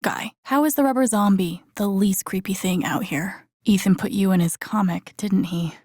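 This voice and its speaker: An actress voicing a wry, caring young woman in a video game, muttering to herself in a soft, understated, dryly musing way. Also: mid-range voice, moderate pace, no echo, studio quality, lightly acted. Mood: wry, deadpan. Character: young woman, wry, caring, thinking aloud while searching. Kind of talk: video game voice acting, muttered self-talk while exploring.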